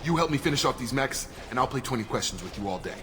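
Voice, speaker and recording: A man's voice: mid-range voice, animated, quick pace, background noise, lightly acted. An actor with an American accent playing a loyal, plain-spoken male soldier in a video game, matter-of-fact and military in manner. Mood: urgent. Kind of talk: video game dialogue acting.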